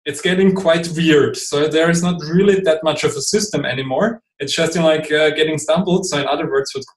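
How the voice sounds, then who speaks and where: A man's voice: fairly high pitch, fairly quick, reverberant. A man from Austria, a native German speaker with an Austrian German accent, explaining things as a guest in an interview, hesitant with many fillers and restarts. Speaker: guest expert. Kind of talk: podcast interview.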